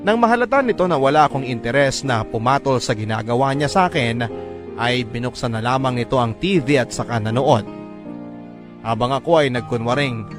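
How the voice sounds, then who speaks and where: A man's voice: medium pitch, a little fast. A man narrating a scripted first-person love story as a radio drama storyteller. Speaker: storyteller host reading a listener's story. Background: background music.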